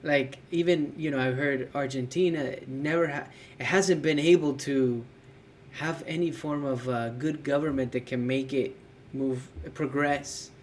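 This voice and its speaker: A man's voice: mid-range voice, a little slow, slight background noise. Casual man chatting on a podcast. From California. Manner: relaxed, searching for words, frequent fillers. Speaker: podcast co-host.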